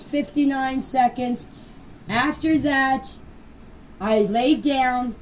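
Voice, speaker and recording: An older woman with a high voice gives an informal, plain-spoken account of events. She stresses her points and repeats them. It is a voice message picked up at some distance, with faint noise in the recording. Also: a little mellow. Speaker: grandmother recounting events. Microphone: voice clips recorded for a group chat.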